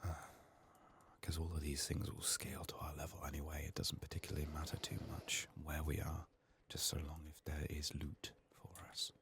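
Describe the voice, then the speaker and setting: A man doing soft-spoken, often whispered ASMR game commentary, muttering to himself with a voice strained by illness. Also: very deep voice, monotone, moderate pace. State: sick, resting a strained voice. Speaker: video game streamer.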